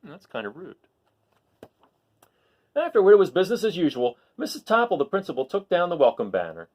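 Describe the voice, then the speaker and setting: A man reading a children's picture book aloud expressively, pausing for asides and questions to his listeners. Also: a little high, sing-song, a little mellow. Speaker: read-aloud storyteller.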